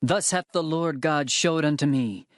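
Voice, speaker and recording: A man's voice: on the high side, unhurried, no echo, studio quality, lightly acted. An actor playing an earnest, bold herdsman prophet, declaiming in a dramatized scripture narration. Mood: solemn, narrating. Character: earnest male prophet Amos, herdsman turned bold messenger.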